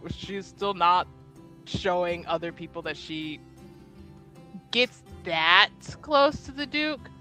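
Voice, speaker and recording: A woman's voice: lowish voice, very animated, takes it slowly, sharp tone, faint music behind. A woman playing a tabletop game, casually improvising narration of what her character does.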